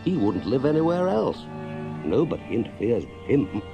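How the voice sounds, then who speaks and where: Man voicing a hearty, excitable storybook water rat. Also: mid-range voice, moderate pace, creaky, dull audio, music in the background. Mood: matter-of-fact. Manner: hearty, chatty, exclamatory English gentleman talk. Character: brisk, friendly, excitable male water rat of the riverbank. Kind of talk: children's storybook character reading.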